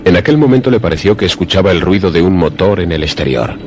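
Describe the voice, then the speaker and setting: Very deep male suspense narrator. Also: expressive. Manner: measured, literary storytelling. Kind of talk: scripted suspense radio drama narration. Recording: prominent music behind the voice. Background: music.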